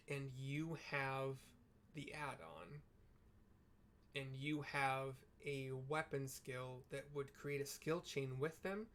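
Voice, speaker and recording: A man giving casual, rambling gameplay commentary as a streamer, explaining things informally as he thinks aloud. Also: medium pitch. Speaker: video game streamer.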